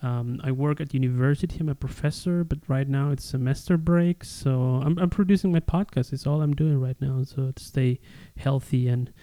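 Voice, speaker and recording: A man's voice: mid-range voice, moderate pace, hardly any pauses, dark tone, no echo. A man from Ecuador hosting a casual podcast interview in an enthusiastic, chatty way.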